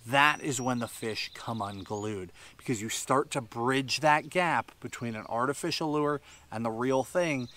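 A man in his thirties giving a fishing tutorial on a boat outdoors, explaining with lively gestures while sweating in the heat. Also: mid-range voice, a little hiss or hum. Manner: explanatory, animated hand gestures. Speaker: fishing instructor. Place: seated on a bass boat outdoors by a tree-lined shore.

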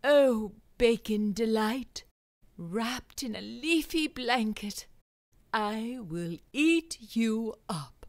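A woman's voice: fairly high pitch, expressive, extremely slow, no room sound, heavily acted. A woman voicing an earnest, hungry male wolf poet in a storybook, drawing words out dramatically. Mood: dreamy, savouring.

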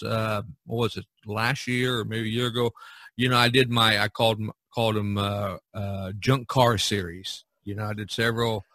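A man's voice: mid-range voice, bright, forceful tone, dry recording. A man hosting a casual podcast, giving advice in a rambling, folksy way full of "you know". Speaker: podcast host and painter. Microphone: video call recording.

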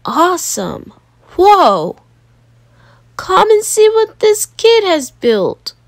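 Woman acting a loud, high-pitched excited beachgoer. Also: extremely slow, no echo, studio quality, full character voice. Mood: amazed. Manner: loud, exclamatory. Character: excited beachgoers calling out to a kid. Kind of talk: children's storybook read-aloud characters.

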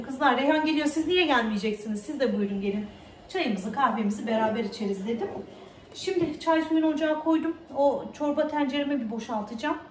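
The woman you'd hear chattering to guests and camera across an echoey kitchen, high-voiced and teasing. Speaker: vlog host. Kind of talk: casual home vlog chat. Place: indoors at home, kitchen and living room. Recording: roomy echo, distant mic, faint noise.

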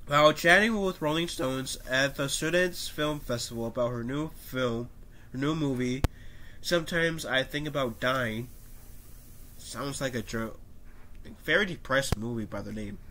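A young man with a stuffy nose, talking casually to his webcam at home, reading an article aloud and adding his own opinions with lively gestures and frequent coughs. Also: medium pitch, a little slow, noisy background. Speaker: YouTuber. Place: small room at home, indoors.

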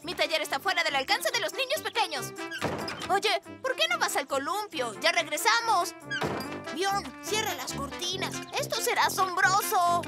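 An actor voicing an excitable, boastful young bear inventor in a children's cartoon, with a theatrical, enthusiastic delivery. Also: very high-pitched, normal speed. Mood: eager, bossy. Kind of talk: animated children's cartoon dub. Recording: music behind the voice.

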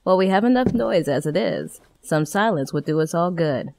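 A woman playing a calm, obliging small-town schoolteacher in a video game. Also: fairly low pitch, normal speed, vocal fry. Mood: calm, welcoming. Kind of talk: video game cutscene acting.

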